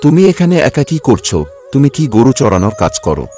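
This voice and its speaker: A man's voice: mid-range voice, sing-song, moderate pace, a little mellow, faint music behind. A man playing village men in a cartoon folk tale, in a conversational manner.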